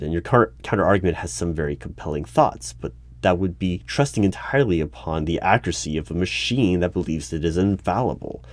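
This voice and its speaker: A man playing an exasperated, argumentative hiring manager in an audio roleplay, speaking haltingly and in formal wording. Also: sits low, a little mellow, dry recording. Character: exasperated male human-resources hiring manager interviewing a robot. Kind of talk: one-sided audio roleplay.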